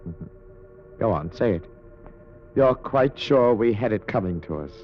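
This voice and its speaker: An actor with a British accent playing an obsessive, possessive ventriloquist in an overwrought, theatrical 1940s radio style. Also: male voice, fairly low pitch, lively intonation, unhurried, soft background music. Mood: dejected, bitter. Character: unhinged, possessive male ventriloquist obsessed with his dummy. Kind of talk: 1940s old-time radio acting. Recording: muffled, noisy vintage radio recording.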